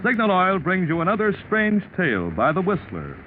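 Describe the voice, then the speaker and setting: Male vintage radio announcer, polished sales delivery, lively swooping pitch, tinny old recording. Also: moderate pace, loud music behind the voice. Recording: tinny 1940s radio recording, faint noise.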